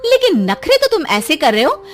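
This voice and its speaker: A woman's voice: high-pitched, huge pitch swings, normal speed, faint music behind. A woman doing a bossy mocking wife. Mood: scolding. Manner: scolding, mocking. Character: bossy, sharp-tongued young wife.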